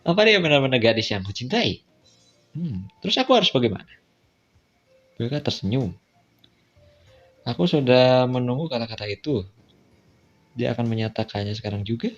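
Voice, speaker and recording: A man's voice: mid-range voice, big pitch swings, moderate pace, long pauses. A man with an Indonesian accent reading game dialogue aloud, with casual, slangy side comments. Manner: slangy, informal interjections. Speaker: visual novel let's-play streamer. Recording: dull lo-fi sound with music. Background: background music.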